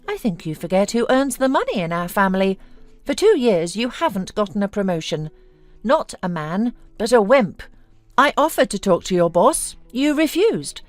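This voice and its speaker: A woman's voice: mid-range voice, sing-song, moderate pace, dry recording, soft background music, close to an everyday voice. A woman voicing a haughty, scornful, domineering businesswoman with a mocking, theatrical manner in a narrated story.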